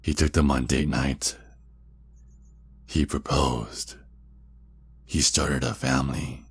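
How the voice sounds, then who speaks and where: A man playing a possessive, menacing vampire kidnapper in an ASMR roleplay, speaking intimately and deliberately. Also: rumbling low, fairly even intonation, full character voice. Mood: bitter, possessive. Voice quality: gravelly, husky, breathy. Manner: slow, intimate, deliberate, with drawn-out pauses.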